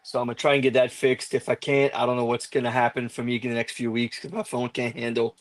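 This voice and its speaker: A man's voice: medium pitch, flat delivery, fast, dry recording. Man with a mid, dry-sounding voice. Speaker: streamer and panel guest. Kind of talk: casual chat, plugging upcoming streams. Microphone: phone.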